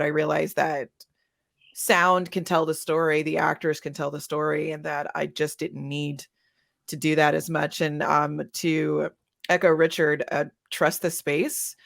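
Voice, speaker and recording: Low, creaky-voiced woman reflecting. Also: no room sound, clean studio sound. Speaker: panelist, podcast writer. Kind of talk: reflective panel discussion.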